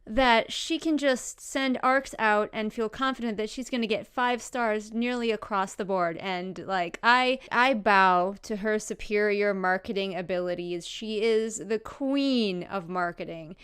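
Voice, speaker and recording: A woman's voice: fairly high pitch, a bit bright. A woman hosting a book vlog, chatting casually to viewers and reading aloud with wry, sardonic asides. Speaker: book commentary vlogger and host.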